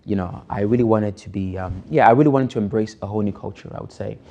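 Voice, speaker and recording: A young man in a soft, dark, mellow tone. His voice sits lowish and has a gravelly fry at the edges. He talks reflectively, pausing and restarting his sentences. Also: dull audio, slight background noise. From Nigeria. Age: 20s. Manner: hesitant, many restarts and "you know". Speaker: film director being interviewed. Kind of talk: reflective personal interview.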